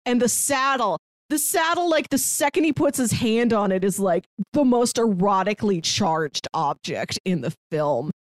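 A woman's voice: medium pitch, expressive, normal speed, few pauses, no echo. Woman podcaster in casual film chat, dry sound. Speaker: film review podcast co-host.

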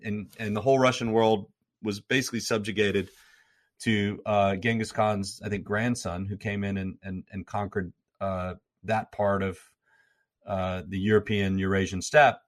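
A man's voice: lowish voice, fairly even intonation, normal speed. A man speaking as an interview guest, explaining history at length in a lecturing way, often repeating and restarting words. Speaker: guest, former troop commander and history professor.

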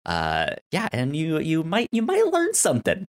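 Stammering, excitable man chatting on a podcast. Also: on the high side, normal speed. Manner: stammering, repeats words, excitable. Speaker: podcast co-host. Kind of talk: casual TV recap chat.

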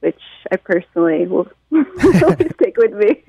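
An American woman from Detroit, a musician, answering interview questions in a casual, animated storytelling way with a creaky voice. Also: medium pitch, measured pace, no echo, low-quality recording. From Detroit, United States. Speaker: musician and songwriter being interviewed.

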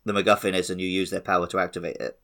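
A man's voice: low voice, flat delivery, rapid-fire, a bit bright. A man hosting a podcast, talking casually about video games in a conversational way. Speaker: podcast host. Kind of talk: casual gaming podcast chat.

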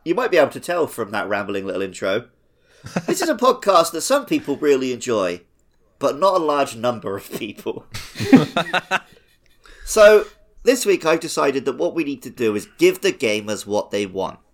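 A man's voice: medium pitch, very animated, normal speed. A man casually hosting a comedy quiz podcast, chatting and reading out questions.

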